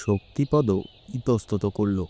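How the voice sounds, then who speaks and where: Deep, very mellow male story narrator. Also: slow. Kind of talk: dramatic scripted story narration. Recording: long reverb over faint music. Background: faint music.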